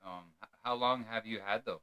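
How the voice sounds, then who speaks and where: A man's voice: fairly low pitch, a little fast, sharp tone, a little hiss or hum, low-quality recording. A man from New York hosting a casual podcast interview, often stumbling and repeating words as he asks his questions. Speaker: podcast host.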